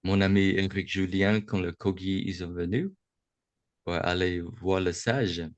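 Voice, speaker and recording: Slow, halting man, low voice. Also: fairly even intonation, no echo, muffled recording. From United States. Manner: slow, halting, with many restarts and pauses. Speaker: Buddhist teacher speaking to young adults. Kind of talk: reflective dharma talk on a webinar. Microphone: video call microphone.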